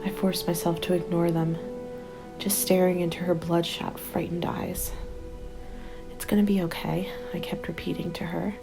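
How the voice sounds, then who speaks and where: A woman playing a haunted, determined zombie apocalypse survivor in an ASMR roleplay, speaking in a soft, breathy half-whisper with an intimate, deliberate delivery. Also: on the low side, flat delivery. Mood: grieving, bleak. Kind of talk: ASMR roleplay, dramatic monologue. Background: background music.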